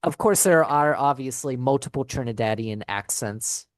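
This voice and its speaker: A man's voice: mid-range voice, moderate pace, no echo, clean studio sound. A man hosting an interview as an English teacher, asking friendly questions with lots of fillers. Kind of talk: casual interview.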